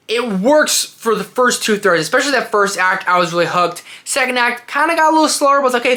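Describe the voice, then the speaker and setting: A man's voice: sits high, bright, forceful tone. A man hosting a film review in a casual, opinionated style, rambling informally with emphatic points. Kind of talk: casual opinionated movie ranking to camera.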